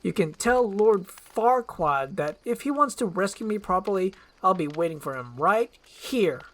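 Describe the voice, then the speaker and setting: Casting: woman as young princess, demanding and romantic, courtly diction, very animated intonation, storybook comedy. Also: medium pitch, unhurried, natural voice. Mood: indignant. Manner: imperious, archaic courtly phrasing.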